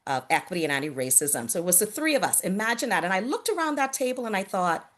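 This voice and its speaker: A middle-aged woman from the Bahamas speaking on a video call panel, earnest and measured, with frequent fillers and restarts. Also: medium pitch, big pitch swings, a bit bright, a little hiss or hum. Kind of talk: reflective talk on a virtual panel.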